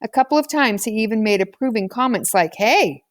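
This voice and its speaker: A woman's voice: fairly high pitch, quick pace. A woman reading a children's novel aloud as scripted audiobook narration. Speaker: audiobook reader.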